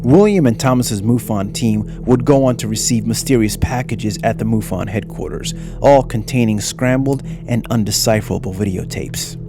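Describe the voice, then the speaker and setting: A man's voice: fairly low pitch, moderate pace, hardly any pauses, music in the background. A man reading scripted narration for a mystery documentary.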